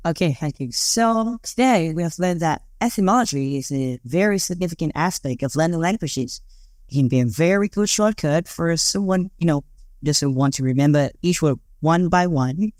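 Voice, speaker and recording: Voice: medium pitch, lively intonation, normal speed, a little mellow, no echo, clean studio sound. A student in their late teens with a Thai accent, closing an educational podcast in a casual, friendly way. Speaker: host wrapping up the show. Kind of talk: scripted podcast outro.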